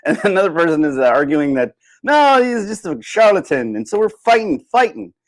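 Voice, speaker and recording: A man's voice: high voice, sing-song, moderate pace. A man giving an impromptu opinion monologue as a livestream host, emphatic and repetitive, often restarting his sentences. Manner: emphatic, rhetorical questions.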